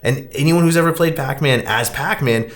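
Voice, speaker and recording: A man hosting a podcast, recapping a film in a casual, rambling, halting way. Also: fairly high pitch. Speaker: podcast host.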